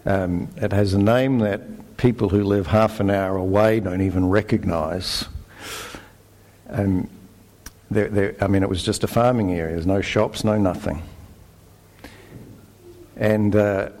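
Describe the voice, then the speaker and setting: A middle-aged Australian man giving a conversational sermon, relaxed and self-deprecating, with fillers and restarts. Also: fairly low pitch, a little slow, pauses often. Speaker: preacher.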